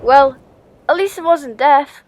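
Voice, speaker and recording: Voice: high-pitched, takes it slowly, a little mellow, lo-fi sound. A woman voicing an exasperated, urgent young Viking boy in an audio play. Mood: incredulous, wry.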